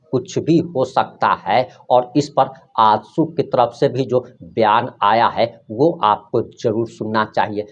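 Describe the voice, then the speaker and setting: A man with an Indian accent presenting opinionated news commentary to viewers in an emphatic, accusatory manner. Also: on the low side. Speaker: news presenter of an online channel. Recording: dull, band-limited sound.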